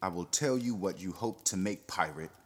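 A man's voice: deep voice, animated, moderate pace, slight background noise. A man reading an audiobook, voicing a fierce, jealous young nobleman.

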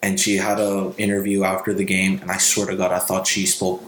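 Sex: woman. Role: podcast co-host. Pitch: very low. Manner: relaxed, slangy. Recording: roomy, faint noise. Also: brisk. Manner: relaxed, slangy, laughs along. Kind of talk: casual chat.

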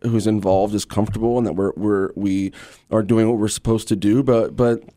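A man from Louisiana speaking as an actor guest in an interview, telling stories in an enthusiastic, conversational and animated way. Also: lowish voice, normal speed. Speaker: interview guest, actor and radio drama creator.

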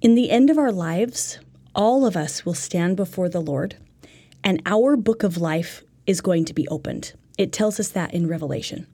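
A woman's voice: fairly low pitch, expressive, normal speed, mellow, breathy edge. A woman presenting a religious self-help lesson in an earnest, instructive way.

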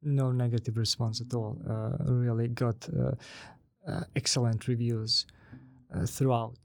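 A man with a Bosnian accent speaks in a creaky, gravelly voice, close and dry. He talks like an author being interviewed, feeling his way through sentences with pauses and repeated words. His pitch sits in a middle range for a man. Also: flat delivery, darkish tone, no room sound. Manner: hesitant, halting, repeats words as he thinks. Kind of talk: conversational interview.

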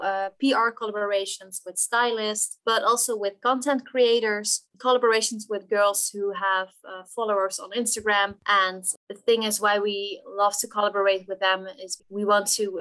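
A woman's voice: medium pitch, steady tone, moderate pace, few pauses, bright, forceful tone, poor audio. A young woman in her twenties with a Dutch accent, a guest explaining her work earnestly, with fillers between listed points. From Netherlands. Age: 20s. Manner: earnest, talks in lists with fillers. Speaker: marketing team lead guest. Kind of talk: casual interview chat.

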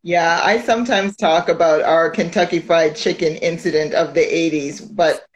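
A woman's voice: low voice, steady tone, normal speed, low-quality recording. A woman hosting a casual interview on a phone, chatty and teasing, often laughing. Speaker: host.

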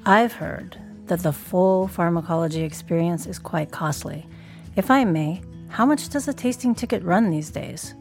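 A woman playing a worldly food journalist in an audio drama, speaking in measured, florid phrases. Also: fairly low pitch, natural voice. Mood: curious, skeptical. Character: Louise, worldly female food journalist, drily skeptical then rapturous. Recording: background music under the voice.

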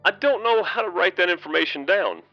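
Inquisitive sidekick man, acted, audio drama: quick, forceful, impatient questioner, slightly lo-fi sound. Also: sits high, expressive, soft background music. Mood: incredulous, insistent. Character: eager, inquisitive male partner pressing for details.

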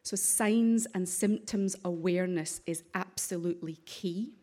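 A woman with a Scottish accent giving a formal address to members of parliament, measured, with occasional ums and restarts. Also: lowish voice, dark tone. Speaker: conference speaker. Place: parliament session hall.

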